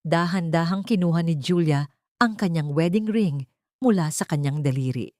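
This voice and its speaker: A woman narrating a dramatic audiobook story in a steady, dramatic manner. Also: fairly low pitch, normal speed, no room sound, studio quality.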